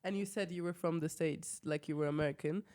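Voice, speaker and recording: Young female co-host, lowish voice, animated and giggly, informal chat. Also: fast talker. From Turkey. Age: young adult. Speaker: podcast co-host. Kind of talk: casual chat.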